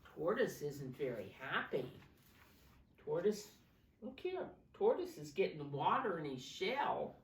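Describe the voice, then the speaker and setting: A woman with a slightly low voice reads to preschoolers in an echoey room. She takes her time and varies her pitch, explaining simply and putting questions to the children. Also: very animated, slow pace. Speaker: preschool teacher reading aloud. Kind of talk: expressive storybook reading with simple explanations for young children. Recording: roomy echo, distant microphone.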